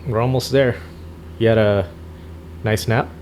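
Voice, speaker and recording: A man's voice: lowish voice, fairly even intonation, unhurried, a little mellow, slight background noise. A man chatting casually and unscripted as he plays a trucker in a game, easygoing and a little hesitant. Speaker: gaming host playing a trucker. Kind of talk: casual unscripted gameplay chat.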